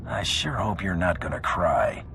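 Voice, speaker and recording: An actor playing a cocky, ruthless male bounty hunter in a game cutscene, speaking low and half-whispered. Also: very animated, unhurried, slight background noise, full character voice. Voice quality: gravelly, low and hushed.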